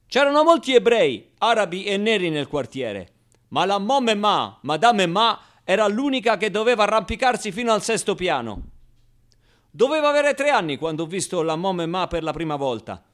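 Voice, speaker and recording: Male stage storyteller, fairly high voice, acting out a colloquial, expressive monologue. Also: moderate pace. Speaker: actor and storyteller. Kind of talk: theatrical first-person monologue.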